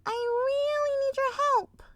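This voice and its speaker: A woman voicing a sweet, excitable young pony girl in a fan-made storybook reading, squealing and breathless. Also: extremely high pitch, takes it slowly, no echo, voice pushed up, full character voice. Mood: frantic.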